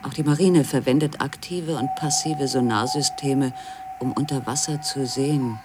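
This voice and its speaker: A man reading scripted documentary narration for a radio feature in a measured, factual way. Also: medium pitch, steady tone, noisy background.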